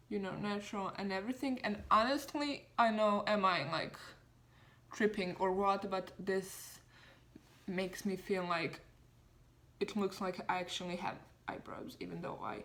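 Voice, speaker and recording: A woman's voice: mid-range voice, fairly bright tone. A woman in her twenties from Serbia, talking casually through a makeup tutorial in a chatty, self-deprecating way with lots of fillers. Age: 20s. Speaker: beauty vlogger.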